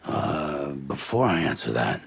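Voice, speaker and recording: An actor playing a gruff, guarded courier in a video game, with a husky gravelly voice and halting delivery. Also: male voice, a bass voice, very animated, slight background noise, heavily acted. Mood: hesitant, troubled. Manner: halting, reluctant. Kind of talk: video game cutscene dialogue.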